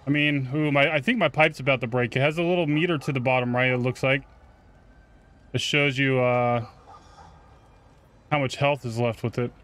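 A man's voice: mid-range voice, steady tone, normal speed, pauses often, a bit bright. A man giving casual, slangy gameplay commentary, swearing and talking back to the game's characters. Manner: profane, slangy, talks to game characters. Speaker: gaming YouTuber. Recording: game music behind the voice. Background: video game music.

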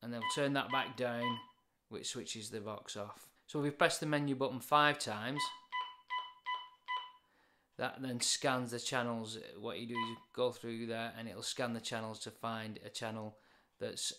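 A man's voice: medium pitch, fairly even intonation, measured pace, over music. A British man in his forties explains things in a plain, matter-of-fact way, as in an informal product review.

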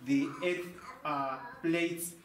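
A man's voice: fairly high pitch, steady tone, very slow. A young man working as a tour guide, giving a guided cave tour talk. He explains things by asking a question and then answering it himself. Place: large cave, indoors. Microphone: handheld mic, camera a few meters away. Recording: echoey cave, faint noise.

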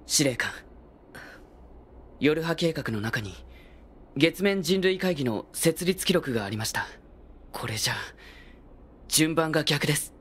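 A man's voice: on the high side, lively intonation, measured pace, long pauses, a bit bright, breathy edge, exaggerated voice. Earnest young android soldier boy. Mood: troubled. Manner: polite, earnest, hesitant. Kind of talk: dramatic video game acting.

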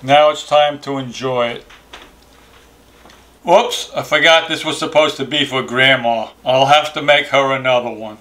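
A man's voice: medium pitch, steady tone, unhurried, sharp tone. An older man co-hosting a vlog, explaining a recipe step by step in a plain, matter-of-fact way. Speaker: channel co-host. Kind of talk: kitchen demonstration.

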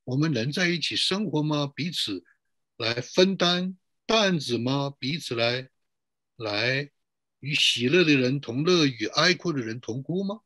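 Man, pastor, husky, lively rising and falling pitch, sermon, dry sound. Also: no echo. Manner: rhetorical questions with drawn-out, emphatic phrases and frequent "ah" tags. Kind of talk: sermon for an online church service.

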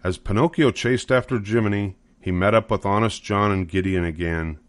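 A man's voice: lowish voice, moderate pace, dry recording. An older man reading a children's story aloud as scripted narration. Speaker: storybook reader.

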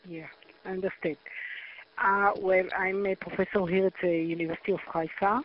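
A woman's voice: medium pitch, level tone, slight background noise. A middle-aged Israeli woman with an Israeli accent, a reading researcher answering a phone interview hesitantly, with many restarts. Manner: hesitant, halting with restarts and fillers. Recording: muffled phone line.

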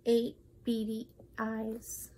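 A young woman hosts a children's storytime as a librarian. Her voice is notably high, and she reads aloud for little listeners. A little background noise sits under the recording. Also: completely flat delivery, drawn-out words.

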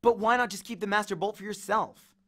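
A man's voice: high-pitched, fairly even intonation, a little fast, fairly bright tone, voice pushed up. A man voicing an earnest, defiant young boy hero with a blunt, accusing way of talking, as an audiobook character.

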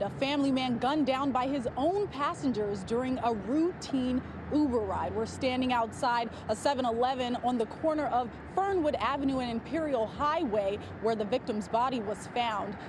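Woman TV reporter, crisp delivery. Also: high voice, normal speed, background noise. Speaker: TV news reporter. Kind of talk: news report. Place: outdoors at a gas station. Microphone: handheld mic.

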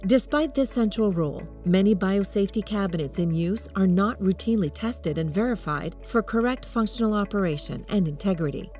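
Female narrator, mid-pitched, scripted and formal, soft music behind. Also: expressive, fairly quick, hardly any pauses.

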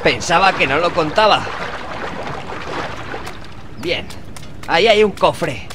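A man's voice: on the high side, lively intonation, slow pace. A man playing an anxious, determined young fantasy hero in a Castilian Spanish video game dub, speaking in short exclamatory phrases. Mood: focused, relieved. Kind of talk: dramatic video game dub, talking to himself. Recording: clear background noise under the voice.

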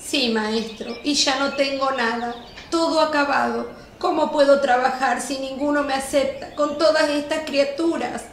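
A woman's voice: high voice, steady tone, moderate pace. An actress playing a timid young widowed mother in a radio play, meek and plaintive. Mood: sad, meek. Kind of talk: Spanish-language radio play acting. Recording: roomy echo, faint birdsong noise.

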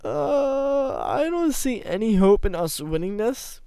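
A young man doing casual gameplay commentary from a small room at home, his voice going hoarse, rambling and joking at himself with sudden loud laughing outbursts. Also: high voice, big pitch swings, takes it slowly. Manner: rambling, self-mocking, bursts into loud laughing exclamations. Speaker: gaming YouTuber.

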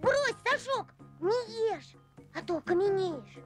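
A woman's voice: extremely high pitch, expressive, slow, music in the background, exaggerated voice. An actress voicing a small, curious and earnest boy in a cartoon, with a childlike, inquisitive manner. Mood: urgent. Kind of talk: animated film dialogue.

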